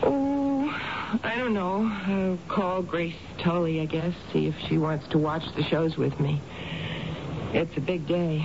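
An actress in a radio drama playing an anxious, fussing middle-aged suburban housewife with a tentative, solicitous way of talking. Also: female voice, fairly low pitch, measured pace. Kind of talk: naturalistic 1970s radio drama acting. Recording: noisy, dull old radio broadcast recording.

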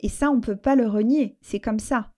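A middle-aged French woman talks through a personal conversation, her voice mellow and soft-edged. She reflects as she goes, pausing on fillers and starting her sentences again. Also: on the high side. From France. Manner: reflective, halting with fillers and restarts. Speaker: interview guest.

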